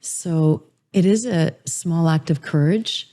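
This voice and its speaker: Middle-aged woman guest, author type, earnest and articulate, lowish, warm tone. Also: slow. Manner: articulate, earnest, builds ideas in steady sequences. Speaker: guest author and inclusion speaker. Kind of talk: thoughtful interview answers.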